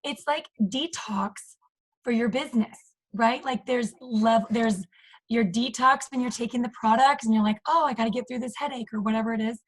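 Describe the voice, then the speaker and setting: A woman with a hoarse, failing voice, chatting animatedly on a group call and acting out little quotes. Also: fairly high pitch, moderate pace, low-quality recording. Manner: animated, excitable, acts out quotes. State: hoarse, losing her voice. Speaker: team leader in direct sales. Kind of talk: casual group call discussion.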